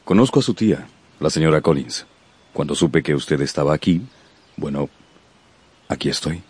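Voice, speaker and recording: A man playing a proud, reserved gentleman in a radio drama, speaking in a stiff, formal, hesitant way. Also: a bass voice, moderate pace, breathy edge. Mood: stiffly polite.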